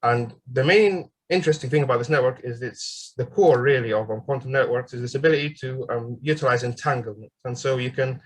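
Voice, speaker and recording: A man in his twenties from Egypt giving an online seminar presentation as a graduate student, pausing often with ums and uhs. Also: medium pitch. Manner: hesitant, frequent ums and uhs. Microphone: video call.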